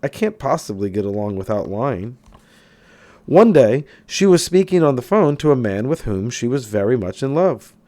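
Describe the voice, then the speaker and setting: Male audiobook reader for self-help title; lively, varied pitch, emphatic phrasing. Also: normal speed. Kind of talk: reading a self-help book aloud.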